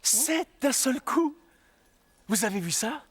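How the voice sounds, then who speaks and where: A man playing a young tailor with grand, heroic dreams and an excitable manner, in a children's cartoon. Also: very high voice, takes it slowly, a crowd in the background, heavily acted. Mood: excited, proud. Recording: music behind the voice.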